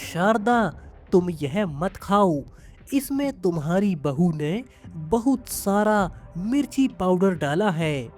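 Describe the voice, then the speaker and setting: Woman voicing a slow-speaking, dignified old village father. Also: lowish voice, expressive, darkish tone. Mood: concerned. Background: music.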